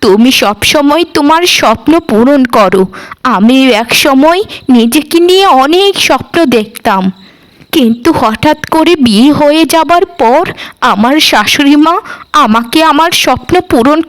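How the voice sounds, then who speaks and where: A woman's voice: sits high, animated, moderate pace. A woman voicing a loving, supportive middle-aged mother-in-law in a cartoon, tender and reassuring. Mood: tender, wistful. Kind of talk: cartoon dialogue.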